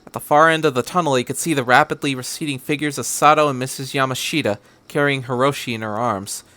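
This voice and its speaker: A man reading a story aloud as a podcast narrator, in a measured, scripted storytelling style. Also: medium pitch, sharp tone, no echo.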